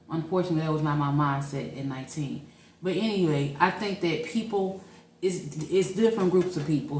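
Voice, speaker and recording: Woman, 40s, St. Louis accent, rambling podcast talk, roomy echo, faint hiss. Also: lowish voice. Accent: St. Louis, Missouri. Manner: chatty, rambling, laughs easily. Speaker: podcast co-host. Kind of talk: casual podcast chat. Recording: echoey room, off-mic, faint noise.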